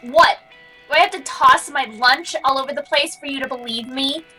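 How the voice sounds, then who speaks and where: Bright, high-voiced woman co-hosting a let's-play. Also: moderate pace. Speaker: co-host of a gaming show. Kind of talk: casual let's-play chat with character read-alouds. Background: soft guitar game music.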